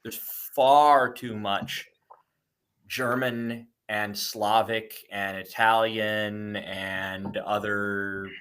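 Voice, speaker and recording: A middle-aged man from the United States speaks as a guest in a conversational interview. His voice sits a little low but sounds bright and forceful, and he stumbles through frequent restarts and repeated words.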